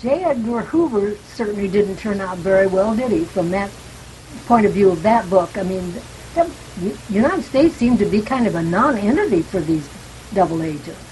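A woman's voice: medium pitch, expressive, moderate pace, darkish tone, poor audio. Opinionated woman over faint hiss. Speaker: book club participant. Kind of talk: casual group book discussion. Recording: faint hissing, sizzling line noise.

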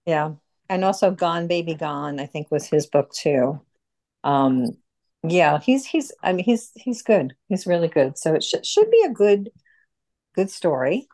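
Female book club host, lower-pitched with a soft dark tone, chatting casually. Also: animated, measured pace. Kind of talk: casual group discussion.

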